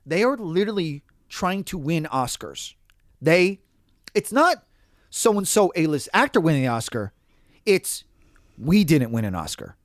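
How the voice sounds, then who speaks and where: A man's voice: a little high, measured pace, no room sound, clean studio sound. A man co-hosting a podcast, talking casually and animatedly, often acting out quoted lines. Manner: animated, quotes people in acted asides. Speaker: podcast co-host.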